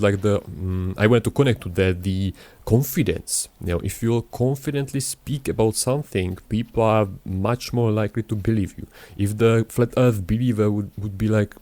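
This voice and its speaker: A man's voice: on the low side, a little mellow, no echo. A young man, not a native English speaker, rambling through casual gameplay commentary with many fillers and restarts. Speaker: gaming YouTuber.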